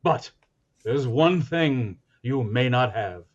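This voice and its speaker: A man's voice: medium pitch, animated, slow pace, muffled recording. A man playing an eager radio announcer who hams up a society husband, with grand melodramatic diction in 1940s radio comedy style. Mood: melodramatic pleading.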